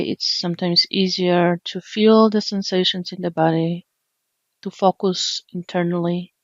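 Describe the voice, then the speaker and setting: A woman guides a body scan meditation in a slow, gentle, soothing way, leaving long pauses between phrases. Her voice stays level with little rise and fall. The recording is dry and a little dull. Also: lowish voice, no echo. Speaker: meditation teacher.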